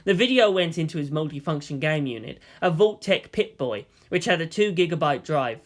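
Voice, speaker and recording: A man reading fan fiction as an expressive scripted narration. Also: a little high, normal speed.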